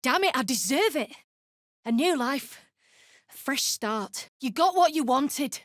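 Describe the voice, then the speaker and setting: A woman voicing an embittered, foul-mouthed deep gnome rebel in a video game, blunt and snarling. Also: animated, measured pace, no room sound, clean studio sound. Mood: defiant, angry. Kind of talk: video game character acting.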